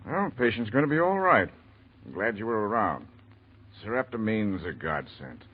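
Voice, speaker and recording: Fatherly old doc in a 40s radio play, rough husky voice, folksy, tinny phone-like sound. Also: lowish voice, sing-song, moderate pace. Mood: warm, weary, reassuring. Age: older. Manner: hesitant, folksy, avuncular. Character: kindly older male senior doctor, trusting and fatherly. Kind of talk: 1940s radio drama acting.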